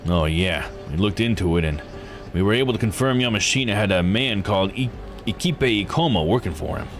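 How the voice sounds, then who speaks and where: A man voicing a gruff, world-weary police detective in a visual novel, with a casual, grumbling manner. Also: fairly low pitch, animated, fairly quick, lightly acted.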